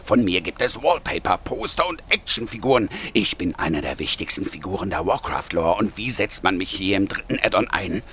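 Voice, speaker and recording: A man's voice: low voice, very animated, brisk, few pauses, a bit bright, slight background noise. A man playing a mighty orc shaman in a parody audio drama, solemn, pompous and aggrieved. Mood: indignant, grumbling.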